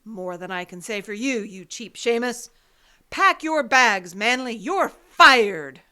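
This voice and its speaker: An actress playing a stern, impatient head librarian in an old-time detective radio play, curt and scornful. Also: female voice, a little high, animated, slow, bright, forceful tone, clear, resonant tone, exaggerated voice. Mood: scornful, angry. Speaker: actor.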